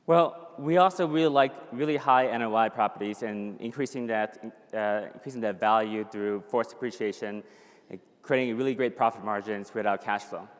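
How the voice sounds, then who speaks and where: A man in his thirties giving an upbeat conference presentation, pitching with some fillers and restarts. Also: moderate pace, smooth. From born in a refugee camp in Thailand, raised in the US. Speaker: conference speaker. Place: conference hall. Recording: long hall reverb.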